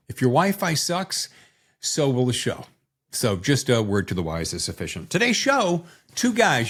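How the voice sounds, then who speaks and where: A man hosting a podcast in a casual, chatty way, with a jokey, informal manner and wandering asides. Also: medium pitch. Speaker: podcast host.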